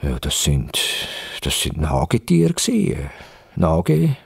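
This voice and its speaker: A gruff father in a children's audio tale , played by a man. He speaks in halting, emphatic phrases with an animated range. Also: extremely low pitch, voice pushed down, full character voice. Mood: puzzled, grave. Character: gruff father of the house shooing a rodent.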